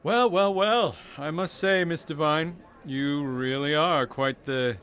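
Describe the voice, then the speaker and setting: Drawling, drunken rich playboy. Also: male voice, a little high, slow pace, a bit bright. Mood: flirtatious, teasing. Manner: theatrical, languid, mock-posh drawl. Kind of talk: radio drama acting.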